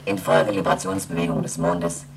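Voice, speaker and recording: A woman's voice: extremely low pitch, level tone, normal speed, slight background noise. A woman playing an emotionless lunar station computer in a radio play, reciting formal status reports with precise diction. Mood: flat, procedural.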